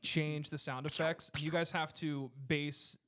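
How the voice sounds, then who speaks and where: A man's voice: a little high, level tone, measured pace, a bit bright. A man hosting and narrating a comedy table read, moving between casual directions and scripted narration. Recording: background noise, occasional clicks.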